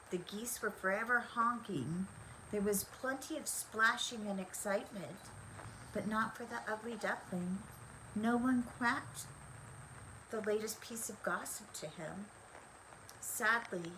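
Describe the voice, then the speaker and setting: An older woman reading a children's story aloud in an expressive, deliberate way. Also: medium pitch, noisy background. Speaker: storybook reader. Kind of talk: scripted children's story narration.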